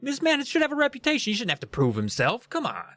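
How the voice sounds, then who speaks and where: A man's voice: very high voice, wildly swooping pitch, fast, fairly bright tone, no room sound. A man co-hosting a podcast in casual chat, adding sarcastic, joking asides. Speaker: podcast co-host.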